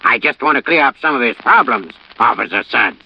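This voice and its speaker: Gravelly, coaxing hypnotist. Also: male voice, medium pitch, animated, a little fast. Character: soothing male hypnotist in a comic ad. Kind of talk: radio commercial acting. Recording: dull, noisy old radio recording.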